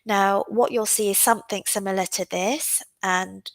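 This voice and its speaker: A woman's voice: medium pitch, steady tone, no echo, rough recording. A woman teaching a live online exam-prep class, speaking with a cold and filling her sentences with "um" as she explains. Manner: frequent "um" fillers, unhurried and explanatory. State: has a cold. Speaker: OET teacher.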